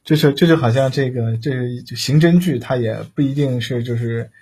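Raspy-edged man chatting on a panel. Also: mid-range voice, darkish tone. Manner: halting, repetitive, searching for words. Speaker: talk show panelist. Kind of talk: casual livestream discussion.

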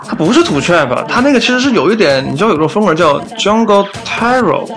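A man's voice: sits high, sing-song, fairly quick. A man co-hosting a podcast in a casual, conversational music chat. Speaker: podcast host.

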